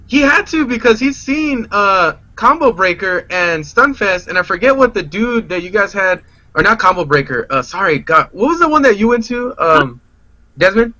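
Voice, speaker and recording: Guy talking game strategy casually, super bright punchy voice, dry close sound. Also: high-pitched, big pitch swings, normal speed, no room sound. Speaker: guest player on a gaming podcast. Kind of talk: casual chat, game analysis.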